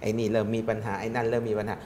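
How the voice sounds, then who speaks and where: A middle-aged man, a studio talk show guest, explaining with lively analogies and rising emphasis. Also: lowish voice, very fast, slight background noise. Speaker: guest, trade chamber secretary.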